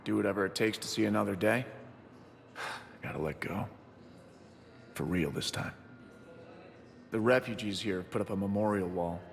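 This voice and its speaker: An actor playing a grieving, loyal military pilot in a sci-fi game, reflective, subdued and sincere. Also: male voice, on the low side, normal speed, vocal fry, pauses often, long reverb tail. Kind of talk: video game voice acting.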